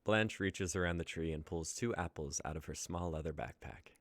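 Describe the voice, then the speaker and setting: A man's voice: low voice, normal speed, no echo. A young man reading scripted story narration and stage directions as the narrator of a dramatic reading. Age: young adult.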